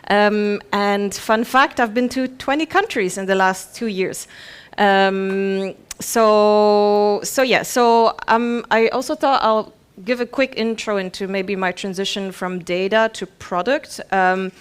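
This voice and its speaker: A woman in her thirties from Belgium speaks at a conference in a medium-pitched voice. She is informal and chatty, presenting with a self-deprecating touch. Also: fairly even intonation, slow, clean tone. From Belgium, grew up in Bruges. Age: 30s. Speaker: conference speaker, head of product.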